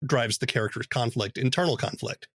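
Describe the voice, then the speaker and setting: Easygoing male interviewer, middle pitch, dead-dry recording. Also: brisk, no echo. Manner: casual, uses fillers like you know. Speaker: podcast interviewer. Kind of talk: interview conversation.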